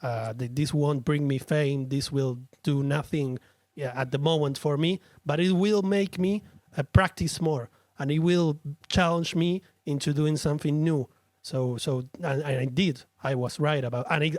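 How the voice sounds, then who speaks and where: A man's voice: a little high, steady tone, moderate pace, dry recording, studio quality. A man from Argentina with an Argentinian accent, a Spanish speaker talking as a guest, short on sleep, searching for words and restarting often. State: tired from little sleep. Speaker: guest, musician and streamer. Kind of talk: casual interview chat.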